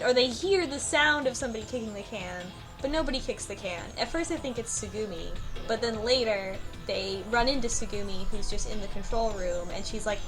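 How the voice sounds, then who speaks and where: A woman explaining things informally in a casual group discussion. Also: a little high. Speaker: book club podcast panelist. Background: music behind the voice.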